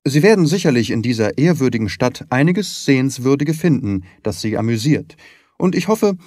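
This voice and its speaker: A man reads as a shrewd gentleman detective in an audiobook. He is confident, wry and formally courteous, with a medium-pitched voice and a dry, close sound. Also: very animated, moderate pace, no echo. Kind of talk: audiobook character reading.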